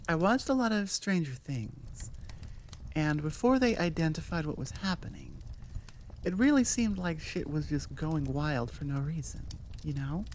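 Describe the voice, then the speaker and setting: Woman rambling on in her outro, laid back and chatty, slightly low voice, faint hiss behind. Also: darkish tone. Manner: rambling and chatty, with many fillers. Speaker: audio creator and storyteller. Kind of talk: casual outro chat.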